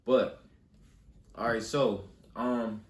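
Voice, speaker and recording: A man's voice: mid-range voice, steady tone, drawn-out words, reverberant, recorded from a distance, heavy background noise. A young man hosting a podcast interview, talking loosely with casual slang and repeated phrases. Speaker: podcast host. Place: podcast studio.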